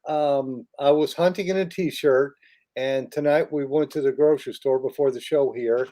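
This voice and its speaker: A man's voice: medium pitch, normal speed, warm tone. A man hosting a casual livestream chat show, talking in an easygoing way. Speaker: show host.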